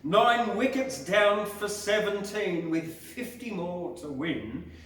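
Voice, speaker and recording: A man reciting a bush ballad as a poetry reader, in a theatrical, deliberate storytelling style. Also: high-pitched, a bit bright, slight background noise. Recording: very echoey, distant microphone.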